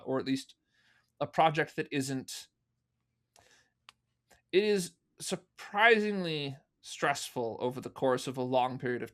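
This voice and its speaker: Guy with a bright forceful voice, mid pitch, explaining stuff casually as a podcast guest. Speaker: interview guest, fan audio drama producer.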